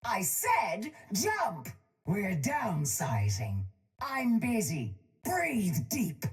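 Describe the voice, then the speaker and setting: A woman's voice: mid-range voice, huge pitch swings, extremely slow, heavily acted. An actress voicing a ruthless, haughty chem baroness for a video game, cold and commanding, her voice filtered through a breathing mask. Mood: haughty, mocking. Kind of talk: video game character voice lines. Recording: music playing behind the voice.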